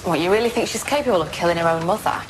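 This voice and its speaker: Low-voiced English prosecutor, played by a woman. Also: racing, noisy background, natural voice. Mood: sceptical, direct. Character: sceptical, direct female crown prosecutor. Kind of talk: audio drama acting.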